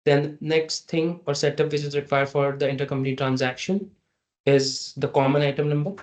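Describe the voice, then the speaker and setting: A man giving a halting, step-by-step software tutorial as a trainer over an online meeting. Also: on the high side. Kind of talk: screen-share software tutorial. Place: online meeting with screen share. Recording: dull, lo-fi online meeting audio.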